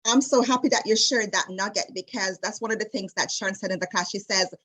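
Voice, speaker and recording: A woman in her late forties with a Jamaican accent, a wedding travel specialist chatting as an interview guest in a lively storytelling way, with many fillers and restarts. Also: medium pitch, fast talker, husky. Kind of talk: casual interview chat.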